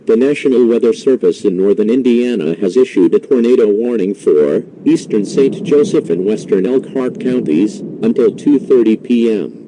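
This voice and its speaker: Man playing emergency broadcast announcer: formal, mechanical, very dark tone, faint noise. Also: fairly low pitch, animated, normal speed, hardly any pauses, poor audio. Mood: formal warning. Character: formal male emergency weather alert announcer. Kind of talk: scripted emergency alert readout.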